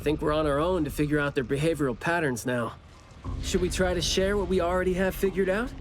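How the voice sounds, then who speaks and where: An actor playing a chatty, teasing, curious young investigator in a game cutscene, with a breezy, wry manner. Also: male voice, a little high, a little fast, close to an everyday voice. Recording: music behind the voice.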